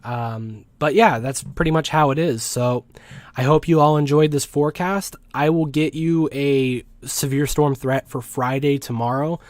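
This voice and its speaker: A young man with a mid-pitched voice talks through a forecast in an informal, explanatory way. He is conversational and slightly halting, rewording things as he goes. The sound is close and dry, with little room on it. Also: fairly even intonation, a little mellow, dry recording. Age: young adult. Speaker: weather forecast host. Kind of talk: informal explainer commentary over weather maps.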